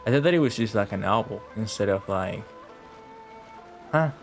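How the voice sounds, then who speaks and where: A man's voice: fairly low pitch, lively intonation, normal speed, faint music behind. A man giving casual livestream game commentary, reacting and talking back to the game as he plays. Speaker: game streamer.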